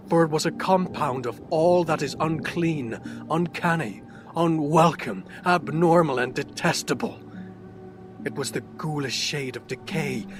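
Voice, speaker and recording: A man's voice: a little high, close to an everyday voice. Man acting a tormented gothic horror outcast, music behind. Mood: horrified, revolted, then grim dread. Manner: theatrical, ornate, swelling into intense emphasis. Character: tormented reclusive outcast recounting his horror, male. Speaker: narrator. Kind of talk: dramatic gothic horror audiobook narration. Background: dark fantasy ambience music.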